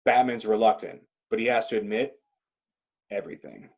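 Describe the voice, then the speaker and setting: Husky man narrating an action script. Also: lowish voice, moderate pace, darkish tone. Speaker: narrator reading stage directions.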